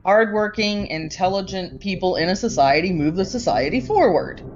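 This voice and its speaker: A woman's voice: medium pitch, expressive, moderate pace, darkish tone, a little hiss or hum. A woman speaking casually as a co-host in a conversational discussion. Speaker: podcast co-host. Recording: dull lo-fi audio, music behind.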